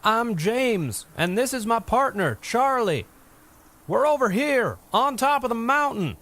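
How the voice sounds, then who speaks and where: A man voicing James, a grown camper who yells hard into the wind from a mountaintop. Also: very high-pitched, very animated, unhurried, voice pushed up, exaggerated voice. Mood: shouting. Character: grown man camping, shouting across mountains.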